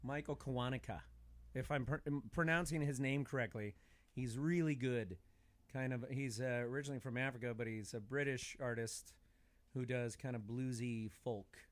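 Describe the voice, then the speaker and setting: A man's voice: medium pitch, normal speed, no room sound. An American man talking casually as a guest on a podcast. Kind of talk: casual chat.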